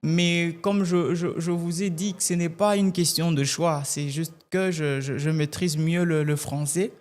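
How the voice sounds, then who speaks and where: A man from Kasai-Oriental with Tshiluba as his first language, a gospel singer answering interview questions in a measured way and often repeating words as he looks for them. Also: on the high side, fairly even intonation. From Kasai-Oriental, DR Congo. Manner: measured, repeats words while searching for phrasing.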